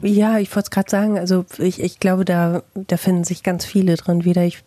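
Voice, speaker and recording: A woman podcast host from western Germany talks in a fairly low, husky voice. Her tone is dark and relaxed as she chats and asks questions casually. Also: moderate pace. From East Westphalia, Germany. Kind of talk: casual conversational interview.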